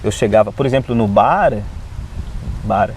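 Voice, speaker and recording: A man from Africa with an African accent, a non-native Portuguese speaker, telling his testimony as an Adventist preacher in an animated way, often adding "né". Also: fairly low pitch, a little slow, a little hiss or hum. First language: not Portuguese. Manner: animated storyteller who often adds "né". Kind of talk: personal testimony in conversation.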